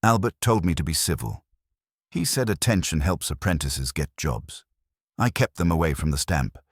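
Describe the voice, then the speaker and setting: A man voicing a rueful, honest woman baker in a mystery story, flat and plain-spoken. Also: a bass voice, moderate pace, breathy edge, dry recording, studio quality, heavily acted.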